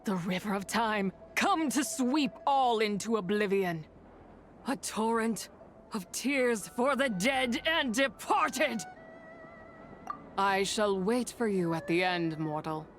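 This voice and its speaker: A woman's voice: mid-range voice, animated, takes it slowly, faint music behind. An actress playing an ancient, wrathful female spirit in a video game, speaking solemn, archaic lines with brooding, imperious drama. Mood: menacing, imperious. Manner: solemn, archaic, theatrical phrasing. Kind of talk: dramatic scripted game dialogue.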